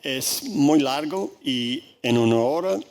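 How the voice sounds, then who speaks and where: Slow-talking male conference speaker. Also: mid-range voice, lively intonation, a little mellow. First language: English. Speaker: guest speaker, agriculture researcher. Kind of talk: conference presentation.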